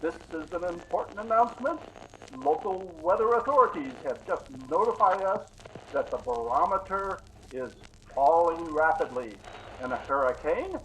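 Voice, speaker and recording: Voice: mid-range voice, animated, moderate pace, a bit bright, natural voice, poor audio. An actor playing an urgent, formal 1950s radio news announcer, reading a bulletin emphatically over slight background noise.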